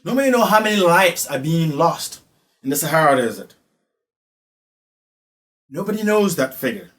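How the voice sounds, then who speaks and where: A man's voice: on the high side, big pitch swings, moderate pace. A man from Ghana with a Ghanaian accent giving spoken social commentary, emphatic and repeating phrases for effect. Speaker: online commentator.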